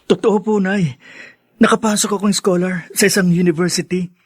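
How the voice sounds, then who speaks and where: A man's voice: high voice, steady tone, moderate pace, breathy edge, no room sound, studio quality. A man playing a poor but determined young student son in a Filipino radio drama. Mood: hopeful, determined.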